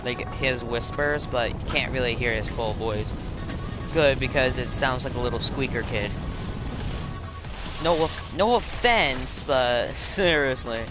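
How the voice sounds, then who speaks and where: A teenage boy commentates a game in a low voice that now and then cracks. He talks in a chatty, rambling way, often stopping to correct himself. Loud game music plays behind him the whole time. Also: measured pace. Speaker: gaming video host. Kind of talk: casual gaming commentary.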